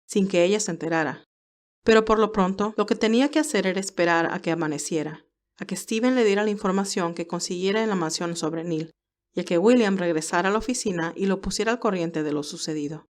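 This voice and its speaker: A woman reading scripted narration for a romantic audio story as its narrator. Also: mid-range voice.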